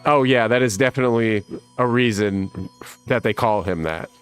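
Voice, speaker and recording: A man in his thirties with a rough smoker's voice, casually chatting on a livestream and reading viewer comments, with lots of laughs. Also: medium pitch, normal speed, faint music behind. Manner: laid-back and rambling, laughs often. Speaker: livestream host.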